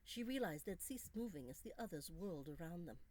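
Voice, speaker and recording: A woman author reading her own gothic romance story as scripted narration, measured and expressive. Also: on the low side, rough recording.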